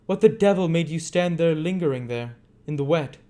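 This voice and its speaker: A man's voice: a little high, expressive, normal speed, warm tone, voice pushed up, natural voice. A man voicing a menacing, impatient, scornful male villain from a storybook, with barking, sneering retorts.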